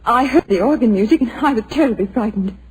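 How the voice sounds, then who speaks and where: A woman's voice: a little high, animated, fast, a little mellow, breathy edge, rough recording. An actress playing a nervous young woman, in 1940s radio-drama style. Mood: anxious. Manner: anxious, breathless.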